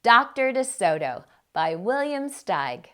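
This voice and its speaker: A woman hosting a children's storytime, reading a picture book aloud in an expressive narrating style. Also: on the high side, slow pace. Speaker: children's storytime host and reader.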